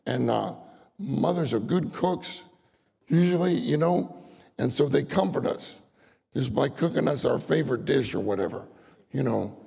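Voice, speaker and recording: Gravelly older man preaching in a hall. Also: mid-range voice, animated, unhurried, mellow. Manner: folksy storytelling, laughs often, acts out voices. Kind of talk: sermon. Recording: long hall reverb.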